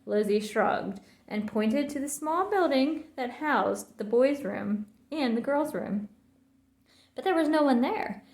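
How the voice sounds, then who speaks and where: Woman, high pitch, theatrical storybook reading, echoing room. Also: animated, slow, a little mellow. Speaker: storybook reader. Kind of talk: children's story read-aloud. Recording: roomy, echoing sound.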